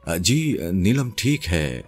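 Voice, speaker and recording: A man's voice: sits low, very animated, slightly rough, soft background music, heavily acted. A man voicing a timid, polite husband in an audio story, with a hesitant, nervous manner. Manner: hesitant, stammering, deferential.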